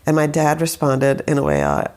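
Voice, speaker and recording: A woman's voice: low-pitched, very animated, normal speed, darkish tone. A young woman who grew up in Saudi Arabia, chatting casually as an interview guest, rambling with many fillers and restarts. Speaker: guest, musician.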